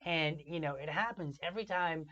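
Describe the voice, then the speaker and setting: A man's voice: a little high, normal speed, pushed and bright. A man hosting a casual podcast, affirming with "right" and restarting phrases as he explains. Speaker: podcast host. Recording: dull lo-fi podcast audio.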